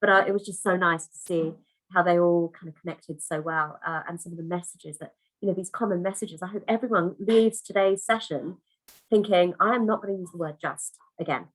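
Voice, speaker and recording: A woman's voice: on the low side, brisk, rough recording. A woman hosting an online conference over a video call, chatty and effusive, full of restarts and fillers. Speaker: conference host.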